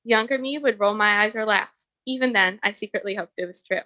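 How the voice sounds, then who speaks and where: A woman's voice: medium pitch, a little fast, pushed and bright, no echo. A young woman delivering a prepared, personal eulogy as a bereaved daughter.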